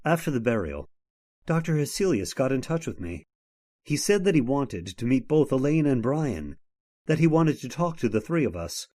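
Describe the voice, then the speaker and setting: A man's voice: mid-range voice, sing-song, moderate pace, close to an everyday voice. An actor playing an earnest young man who tells his own horror tale in old-time radio style. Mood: grave, haunted. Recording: radio drama with loud music behind.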